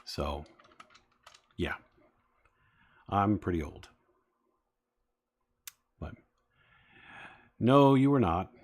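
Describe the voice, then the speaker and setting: A man's voice: deep voice, very animated, very slow, long pauses. A man in his forties chatting casually with live-stream viewers, rambling with many fillers as he talks. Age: 40s. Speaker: streamer building toy sets.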